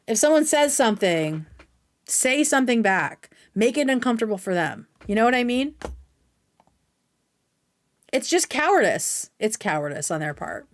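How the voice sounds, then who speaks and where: A woman's voice: on the high side, sing-song, moderate pace, pauses often. An American woman hosting a livestream, giving casual, opinionated commentary in a blunt, sweary, conversational way. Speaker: live stream host reacting to clips.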